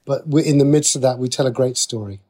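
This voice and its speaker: Reflective British man, medium pitch, being interviewed. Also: fast talker, a little mellow, slightly rough. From Slough, England. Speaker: interview guest, TV and radio writer. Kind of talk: conversational interview.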